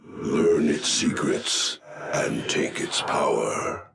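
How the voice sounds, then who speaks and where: Very deep, gravelly alien prophet. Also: male voice, in a whisper, full character voice. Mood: solemn urging. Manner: grave, cryptic, deliberate. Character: ancient solemn alien prophet, weary and mysterious. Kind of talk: video game cinematic acting. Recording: loud music behind the voice.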